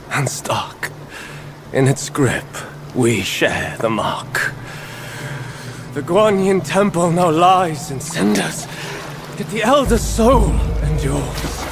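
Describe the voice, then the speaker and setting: An actor playing a solemn mystical guardian in a fantasy video game, with an archaic, portentous delivery. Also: male voice, a little high, noisy background, heavily acted. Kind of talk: dramatic video game cutscene acting. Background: loud cinematic music.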